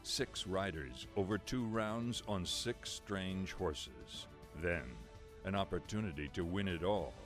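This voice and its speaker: A man reading formal scripted narration for a sports broadcast, measured and even. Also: low voice. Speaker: announcer. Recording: voice-over with music bed. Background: music.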